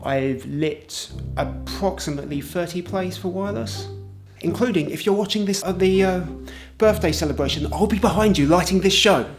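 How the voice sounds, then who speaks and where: A man's voice: high-pitched, moderate pace, music in the background. A man, a theatre lighting technician, introducing himself in an interview.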